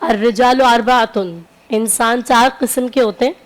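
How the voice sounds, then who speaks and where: A woman's voice: on the high side, fairly even intonation, moderate pace. A woman scholar giving religious teaching on a talk show, explaining point by point and repeating key phrases. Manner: didactic, explaining in numbered points with repetition. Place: TV broadcast studio.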